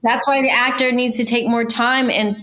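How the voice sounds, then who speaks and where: A woman's voice: a little high, little pitch movement, moderate pace, a bit bright, a little hiss or hum. Woman casting director chatting in an interview. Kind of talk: conversational interview about voice casting.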